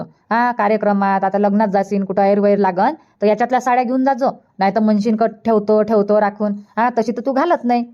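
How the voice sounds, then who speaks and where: A woman's voice: a little high, mellow, natural voice. Thrifty village mother, acted by a woman, dull sound. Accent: Vidarbha Marathi. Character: thrifty, practical older village mother visiting her daughter. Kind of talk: cartoon comedy dialogue. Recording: dull lo-fi cartoon dub.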